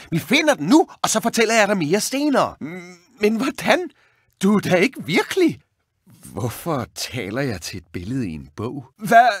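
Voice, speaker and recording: Game role: wry, excitable young rat and would-be cook, lightish high register with lively swings in pitch. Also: male voice, husky, dry recording, clean studio sound, full character voice. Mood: eager, determined, then startled, doubtful. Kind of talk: animated video game voice acting.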